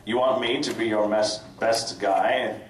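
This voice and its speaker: A man's voice: fairly low pitch, steady tone, measured pace, lightly acted. A man reading the part of an indignant, sardonic young female unicorn in a comedic live dramatic reading. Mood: indignant, sarcastic. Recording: echoey convention room, distant mic, crowd. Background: convention audience.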